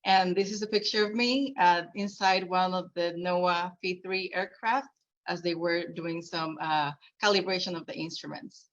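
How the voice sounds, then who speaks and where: Low-voiced woman from Puerto Rico, lo-fi. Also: fairly even intonation, moderate pace, fairly bright tone. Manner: earnest, personal storytelling with fillers. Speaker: keynote speaker. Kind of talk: conference keynote talk. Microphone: video call.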